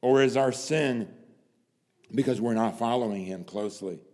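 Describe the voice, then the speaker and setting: A man preaching a sermon, dramatic, swinging from hushed lines to loud emphasis and asking rhetorical questions. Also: medium pitch, normal speed. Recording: long hall reverb.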